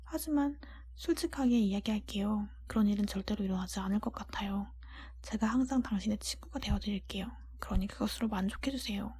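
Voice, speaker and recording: A woman voicing a kind-hearted, honest young storybook heroine in a soft breathy whisper, earnest and polite. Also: mid-range voice, a little fast, hardly any pauses. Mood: apologetic.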